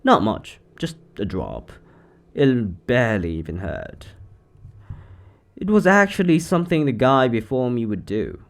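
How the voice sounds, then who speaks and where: A man playing an eccentric, secretly sinister occult shop owner in a roleplay monologue, chatty and offhand with theatrical, drawn-out words. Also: mid-range voice, huge pitch swings. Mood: breezy, smug. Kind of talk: scripted roleplay monologue to the listener.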